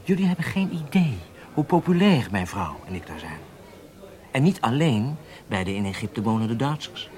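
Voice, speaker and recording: A man's voice: mid-range voice, very animated, moderate pace, darkish tone, lightly acted. An actor in a Dutch radio drama playing a self-assured, impatient undercover spy, speaking emphatically as if lecturing his handlers. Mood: assured, persuasive.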